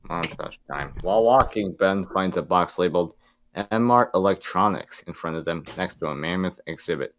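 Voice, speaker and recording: A man's voice: low-pitched, normal speed, a little hiss or hum. A man narrating a table read, reading stage directions informally and stumbling over the script at times.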